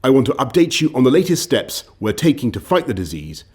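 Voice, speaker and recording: Husky man, lively formal address. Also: medium pitch, brisk.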